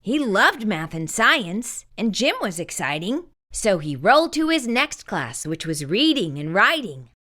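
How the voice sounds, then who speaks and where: Lady reading a kids book aloud, slow n bright, super expressive host. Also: medium pitch. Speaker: storytime host and reader. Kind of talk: animated children's read-aloud narration.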